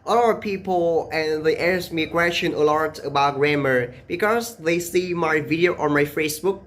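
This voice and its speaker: A young Vietnamese man with a slightly higher-pitched voice chats to camera in English, his second language. He overpronounces every word and keeps checking in with "okay?" between fillers. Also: fairly bright tone. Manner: deliberately exaggerated, emphatic pronunciation; frequent "okay?" tags and "uh" fillers. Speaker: English-learning video creator. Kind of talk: casual talk to camera.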